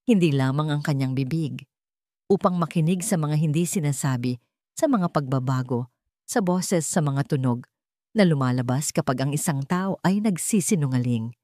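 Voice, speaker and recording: A woman narrator gives voice to an elderly grandmother in an audio story, earnest and respectful. She speaks in measured, solemn phrases with a low, mellow voice, close and dry. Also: expressive, no room sound.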